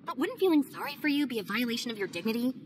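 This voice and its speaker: A woman voicing a calm, deadpan and teasing young female ninja deputy in a game cutscene. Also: a little high, very fast. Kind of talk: video game cutscene voice acting.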